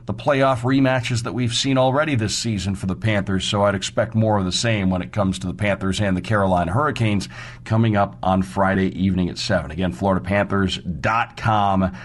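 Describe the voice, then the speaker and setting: A man's voice: fairly low pitch, a little fast. A man hosting a sports radio show, previewing games and reading promos in a conversational, folksy way.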